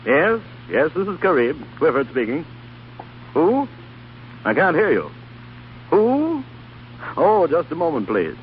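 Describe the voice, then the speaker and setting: An actor playing a helpful, excitable colleague in an old-time radio drama. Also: male voice, fairly high pitch, slow, long pauses, heavily acted. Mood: flustered. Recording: dull, faintly noisy vintage radio recording.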